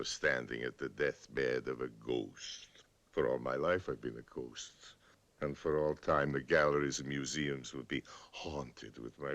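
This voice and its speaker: A man voicing a proud, dying old male art forger, speaking slowly and theatrically in hushed tones. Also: extremely low pitch, voice pushed down, heavily acted. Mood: brooding.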